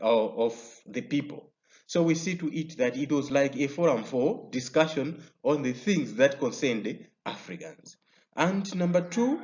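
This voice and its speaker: A man with a Malawian English accent teaching a recorded history lesson over slides. He explains step by step and often pauses on "uh". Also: a little high, darkish tone. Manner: explanatory, many "uh" fillers and restarts. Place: indoor room. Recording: slightly dull lo-fi sound.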